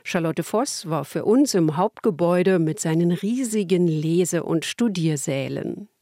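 A woman presenting in a studio, calmly introducing the next report. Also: on the low side, measured pace, soft background music.

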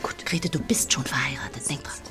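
A woman playing a brisk, teasing young seamstress with conspiratorial, half-whispered asides in a German radio drama. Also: extremely low pitch, music in the background.

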